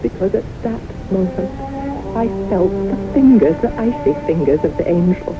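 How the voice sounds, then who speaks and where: A woman's voice: medium pitch, expressive, moderate pace, over music, close to an everyday voice. An actress playing a fearful, fervent young woman haunted by death, in an intense theatrical style of 1970s radio drama. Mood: haunted, brooding. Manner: intense, theatrical, emotive delivery. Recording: noisy, muffled old radio broadcast recording.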